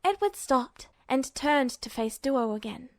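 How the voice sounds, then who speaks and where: A woman's voice: sits high, expressive, unhurried, dry recording, clean studio sound. A woman reading scripted fiction aloud as an audiobook narrator. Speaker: fan fiction audiobook reader.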